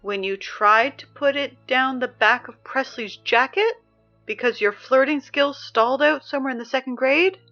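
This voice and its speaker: A woman's voice: high-pitched, moderate pace, highs cut off, faint music behind, lightly acted. An actress playing a teenage girl who reads school announcements over the speakers, dry and exasperated. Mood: needling. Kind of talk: audio drama reading school announcements.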